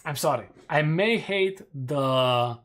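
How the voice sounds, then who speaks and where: Joking Romanian-accented streamer. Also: male voice, fairly high pitch, lively intonation, slow, a bit bright. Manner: joking and self-mocking. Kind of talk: casual chat reading community polls.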